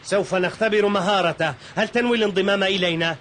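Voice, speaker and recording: Brash, boastful young kung fu pupil, high-pitched. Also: male voice, steady tone, quick pace, a bit bright, a little hiss or hum. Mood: scoffing. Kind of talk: Arabic cartoon dub.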